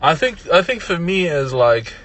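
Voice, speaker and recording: A young man from Tonga with a Tongan accent, speaking English as a second language, chatting as a podcast guest in a relaxed way with frequent laughs and restarts. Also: a little high, normal speed. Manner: laid-back, laughs often, many restarts. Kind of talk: casual interview chat.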